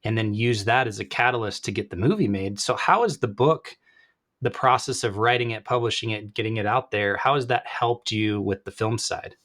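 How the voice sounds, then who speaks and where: A man's voice: lowish voice, moderate pace, a bit bright. A man co-hosting a podcast, asking questions in a casual interview style. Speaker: podcast co-host.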